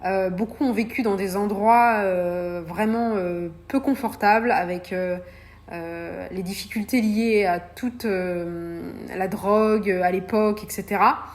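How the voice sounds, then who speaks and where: A woman's voice: mid-range voice, steady tone, measured pace. A woman hosting a solo podcast, talking in a hesitant way with many fillers and restarts. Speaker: podcast host.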